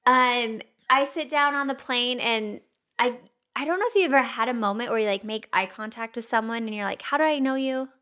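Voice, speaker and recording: Higher-voiced woman co-host, casual and chatty, laughs a lot. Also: a little fast, sharp tone. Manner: chatty storytelling, laughs often. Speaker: podcast co-host. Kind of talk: casual chat.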